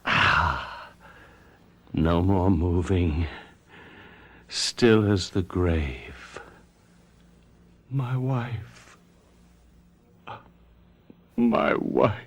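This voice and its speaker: An actor playing a greedy, unhinged, scheming husband who has turned murderer, muttering melodramatically in a radio drama monologue. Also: male voice, low voice, very slow, pauses often, heavily acted. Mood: menacing, anguished. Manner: melodramatic, broken muttering, quotes verse. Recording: radio drama with music behind.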